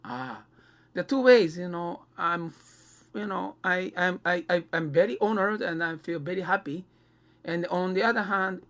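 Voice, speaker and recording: A male podcast host with a Japanese accent talks in a conversational way. His English is non-native and comes out haltingly, phrase by phrase. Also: sits high.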